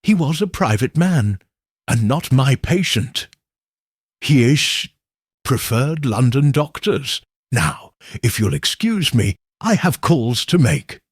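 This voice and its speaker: Guy doing village doctor in a mystery story, curt and evasive, husky, close dry sound. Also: mid-range voice, big pitch swings, takes it slowly, dry recording, exaggerated voice.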